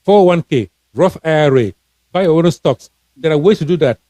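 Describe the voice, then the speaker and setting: A man's voice: a little high, lively intonation, a little fast, warm tone, no room sound. Earnest man giving money advice. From Cameroon. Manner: repetitive, restarting, earnest and encouraging. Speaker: financial coach, author. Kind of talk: casual live-stream advice talk.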